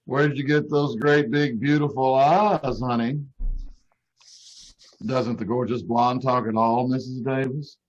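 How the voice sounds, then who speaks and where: A man's voice: mid-range voice, fairly even intonation, unhurried, a little hiss or hum, natural voice. Man acting a slick flirty salesman, muffled sound. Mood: flirtatious, slick. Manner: slick, pet-name-dropping sweet talk. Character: smooth, flirtatious, brash door-to-door vacuum salesman. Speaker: amateur radio troupe actor. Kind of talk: old time radio comedy acting. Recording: dull, lo-fi video call audio.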